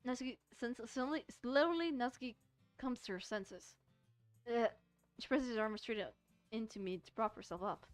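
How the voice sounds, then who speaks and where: High female voice, game streamer, expressive read-aloud, casual asides, stumbling over words, background music. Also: moderate pace, dry recording. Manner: expressive, giggly, stumbles while reading. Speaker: streamer playing a visual novel.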